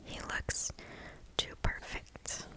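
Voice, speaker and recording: A woman reads stories in an ASMR style, entirely in a breathy whisper. She sits close to the microphone and takes her time over each phrase. Her delivery is hushed and deliberate throughout. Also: low-quality recording. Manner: slow, hushed, deliberate storytelling. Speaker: ASMR host reading stories. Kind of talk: ASMR whispered story reading.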